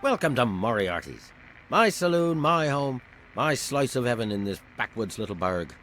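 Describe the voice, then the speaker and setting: A man's voice: medium pitch, very animated, normal speed, a bit bright, slight background noise. A man voicing a sly, boastful saloon owner in a video game, with a theatrical, condescending manner. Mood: grandiose welcome. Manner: smooth-talking, theatrical, condescending. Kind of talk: video game character dialogue.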